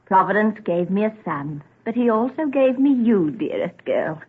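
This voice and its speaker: An actress in a vintage radio drama playing a gentle, doting mother of a grown son, genteel and fondly fussing. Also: mid-range voice, animated, moderate pace, husky, phone-quality sound. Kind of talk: 1940s radio drama acting. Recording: thin, band-limited vintage radio recording.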